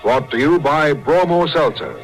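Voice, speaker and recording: A man's voice: on the high side, lively intonation, unhurried, low-quality recording. A man working as a radio announcer, giving a formal, polished scripted read in 1940s style. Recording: old 1940s radio recording with music.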